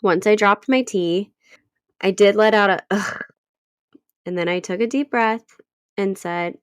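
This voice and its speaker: Woman podcast host, thirties, stuffy from a cold, slow and earnest, dry close mic. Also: medium pitch, clear, resonant tone, no echo. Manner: earnest, encouraging, unhurried. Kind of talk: solo podcast talk.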